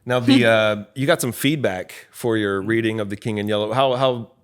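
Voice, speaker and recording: A man's voice: lowish voice, normal speed, clear, resonant tone. A man hosting a casual interview, asking questions informally and responding to his guest. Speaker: podcast host, interviewer.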